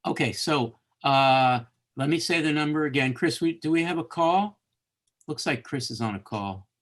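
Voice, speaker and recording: A man hosts a live online literature discussion in a conversational way. His voice sits at an ordinary middle pitch, and he rewords his thoughts as he speaks. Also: gravelly, a bit bright. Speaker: host of a live literature course webcast. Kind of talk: unscripted discussion hosting.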